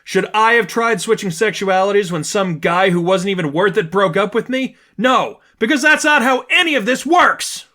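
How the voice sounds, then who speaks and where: Young woman, male reader, high and heated, condescending, emphatic; storybook drama confrontation. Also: normal speed, few pauses, pushed and bright. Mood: angry, scornful. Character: angry young woman, impassioned, scornful, pleading friend. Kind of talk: dramatized fan fiction reading.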